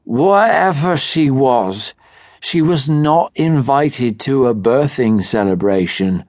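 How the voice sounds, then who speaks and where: An actor playing an old drunk with a long, stained grey beard, speaking slowly and insistently. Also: male voice, mid-range voice, lively intonation, a little mellow, dry recording, studio quality. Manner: slow, insistent.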